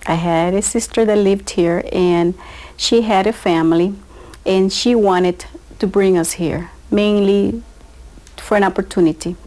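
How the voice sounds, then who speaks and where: A woman, answering interview questions in a gentle, unhurried way. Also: medium pitch, steady tone. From Panama. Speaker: interviewee, dancer.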